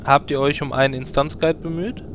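A man's voice: a little high, steady tone, fairly quick, background noise, lightly acted. A man acting a sensible, blunt, matter-of-fact adventurer in a comedic fantasy game audio drama.

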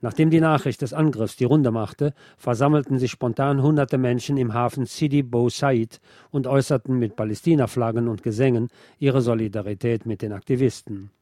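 A man presenting scripted political news commentary in a measured, formal delivery. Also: mid-range voice, fairly even intonation, warm tone, no echo, clean studio sound.

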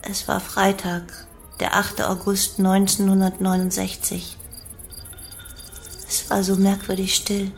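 A young woman from a cult, confessing in a radio play. Her delivery is matter-of-fact, at a middling pitch, as if giving testimony. Music plays softly beneath her words. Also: completely flat delivery, takes it slowly, gravelly, long pauses. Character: young female cult follower recounting her life and crimes. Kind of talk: German radio play monologue.

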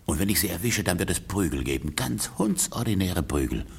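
An actor playing a jealous, threatening husband in a radio play, muttering grimly in a husky, gravelly voice. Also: male voice, low-pitched, animated, a little fast, full character voice. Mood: menacing. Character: jealous, threatening husband hunting his wife.

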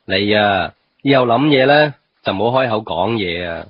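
A man acting a dry, chiding office boss in a radio drama, deadpan, with a Hong Kong Cantonese accent and English words mixed in. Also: lowish voice, normal speed, breathy edge, no room sound, muffled recording.

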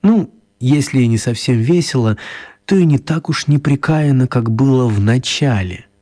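A man narrating a children's radio story in a wry, confiding way, with expressive, deliberate phrasing and dramatic pauses. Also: medium pitch, lightly acted. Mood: dramatic storytelling.

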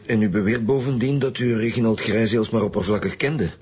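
A man's voice: on the low side, a little fast, darkish tone, lightly acted. An actor playing a dry, probing male police detective in a radio drama, speaking matter-of-factly. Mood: formal, probing. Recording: faint music behind.

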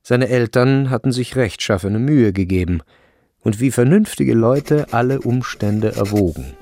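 A man narrating a literary radio play in a creaky voice. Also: mid-range voice, expressive, unhurried, dark tone.

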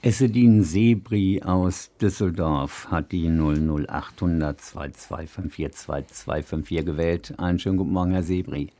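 A man hosting a radio interview, asking questions in a measured, formal way. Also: deep voice, dark tone. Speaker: radio host.

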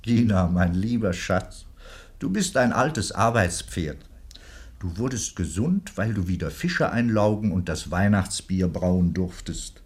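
An elderly country clergyman for a radio play, in a fairly low voice. He speaks with avuncular fondness and gentle hesitation, a devoted and indulgent old husband. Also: lively intonation, unhurried. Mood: fond, playful. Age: older. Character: kindly old married provost, indulgent and affectionate. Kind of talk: German literary radio drama acting.

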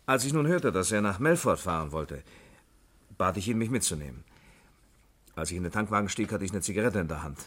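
An employee being questioned in a sixties radio thriller. His voice is very low and rough-edged. He is sheepish and apologetic, explaining himself with hesitation and some defensiveness. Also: animated, fairly quick, long pauses, a bit bright, exaggerated voice. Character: sheepish, defensive male car company employee under questioning. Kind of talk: 1960s German radio crime drama acting.

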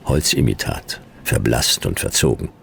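An actor playing a world-weary, wry private detective in a futuristic radio crime drama, gravelly, laconic and deadpan in clipped sentences. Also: male voice, a bass voice, moderate pace, a little hiss or hum, full character voice. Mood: deadpan, sardonic. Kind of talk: noir radio drama, first-person narration and dialogue.

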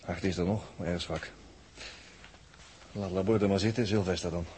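An actor playing a tense, commanding ship's medic giving short clipped instructions in a 1960s radio drama. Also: male voice, deep voice, steady tone, moderate pace, a little mellow, natural voice. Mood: tense, urgent. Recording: noisy old radio recording.

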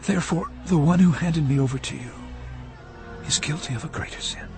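An actor playing a solemn, resolute prophet on trial in a scripture audio drama, earnest and measured. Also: male voice, a little high, lightly acted. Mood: solemn conviction. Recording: music behind the voice.

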